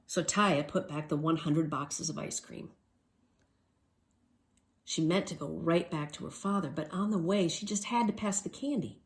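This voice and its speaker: A woman reading a children's story aloud as a storyteller, expressive and emphatic. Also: low-pitched, normal speed.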